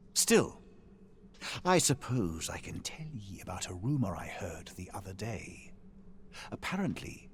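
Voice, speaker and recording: An actor playing a weary, solemn former hero in a fantasy video game, with grave, archaic, measured delivery. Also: male voice, sits low, vocal fry, a bit bright, slight background noise, exaggerated voice. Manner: grave, archaic, measured storytelling with sighing line endings. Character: weary, solemn former hero, husk of a fallen chosen one.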